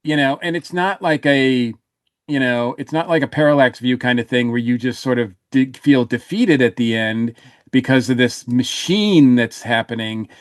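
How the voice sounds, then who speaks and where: A man with a mid-pitched voice talks as a podcast panelist in a very dry recording. He rambles casually, often abandoning a phrase and starting it over. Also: moderate pace, dry recording. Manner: rambling, often trailing off and rephrasing. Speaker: podcast panelist reviewing audio dramas.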